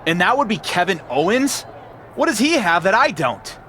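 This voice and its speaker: A man's voice: sits high, big pitch swings, moderate pace, pushed and bright, slight background noise, full character voice. A man playing a proud, defiant champion wrestler in a video game, blunt and confrontational. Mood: indignant. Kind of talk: acted video game cutscene dialogue.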